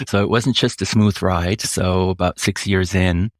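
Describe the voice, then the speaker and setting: Man, 50s, very low voice, measured reflective interview talk, dry close sound. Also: fairly even intonation, dry recording. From Switzerland. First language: German. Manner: measured, reflective, slows down often, frequent fillers. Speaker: author guest. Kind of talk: interview answers.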